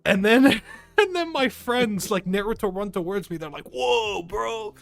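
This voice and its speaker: A young man in casual, animated, slangy chat, swearing freely as he tells stories. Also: sits high, moderate pace, faint music behind. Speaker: podcast host.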